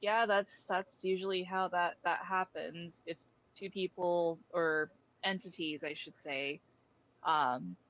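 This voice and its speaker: A blunt otherworldly void being, played by a woman in a comedy skit, with a lowish female voice. The delivery is matter-of-fact and explanatory with casual cursing, on a dull lo-fi microphone. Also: a bit bright. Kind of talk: improvised comedy skit dialogue.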